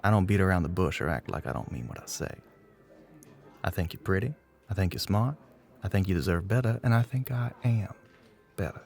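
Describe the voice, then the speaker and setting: Man acting a gravelly, flirty Southern US bartender. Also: low-pitched, lively intonation, brisk. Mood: confident, flirty. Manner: drawling, smooth-talking, pet names, often breathy near-whisper. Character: smug, flirty, confident middle-aged Southern male bartender. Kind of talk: intimate ASMR roleplay.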